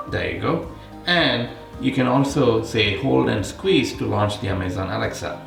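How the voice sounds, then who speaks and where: A man's voice: lowish voice, gravelly, reverberant. A man giving a casual, explanatory product comparison as the host of a tech review.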